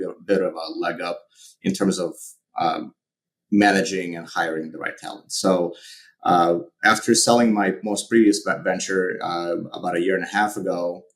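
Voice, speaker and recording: A middle-aged man from Ukraine with a Ukrainian accent, answering interview questions in a measured way, with many fillers and restarts. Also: deep voice, low-quality recording. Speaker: guest, company founder.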